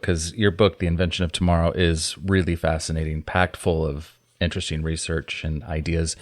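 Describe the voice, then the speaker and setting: A male podcast co-host who sounds upbeat and energetic while introducing a guest. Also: sits low, no echo, studio quality. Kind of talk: casual chat and guest introduction.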